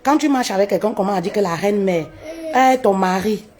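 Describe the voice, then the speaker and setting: Animated woman. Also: brisk. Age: 30s. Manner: expressive, swoops high for emphasis, drops low and quiet, laughs often. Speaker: live streamer telling her story. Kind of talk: animated personal storytelling. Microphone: phone on a live stream.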